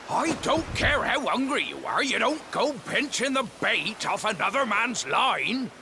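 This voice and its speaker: Gravelly old fisherman, very wide swings of pitch, hearty, excitable, folksy, kindly, video game character. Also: a little slow, noisy background, exaggerated voice. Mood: indignant. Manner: folksy seafarer talk, refers to himself by name. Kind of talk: video game cutscene voice acting. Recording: game music under the voice.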